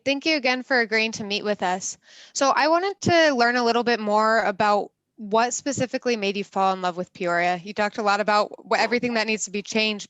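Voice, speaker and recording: A woman's voice: mid-range voice, a bit bright. A woman student senator politely asking a guest a question at a meeting.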